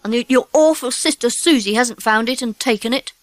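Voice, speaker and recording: A woman voicing an eager, bossy schoolboy in a children's audiobook, with a brisk, boyish, emphatic delivery. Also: on the high side, no room sound, lightly acted, poor audio. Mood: excited, insistent. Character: eager, bossy schoolboy Peter and his boy pals. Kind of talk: children's audiobook character acting.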